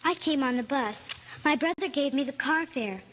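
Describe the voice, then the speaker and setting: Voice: high-pitched, normal speed, fairly bright tone, long reverb tail, muffled recording. A woman playing an earnest, polite little girl, pleading in simple childlike speech in an old-time radio drama. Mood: earnest, insistent. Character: little girl, earnest, pleading, tearful over her lost dog. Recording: old radio recording with faint hiss.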